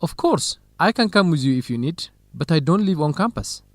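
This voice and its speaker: A cautious, good-natured graduate student in a radio drama. His voice sits slightly high for a man and moves with lively intonation, in a dry studio sound. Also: normal speed, dry recording. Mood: cautious, worried. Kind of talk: scripted educational radio drama dialogue.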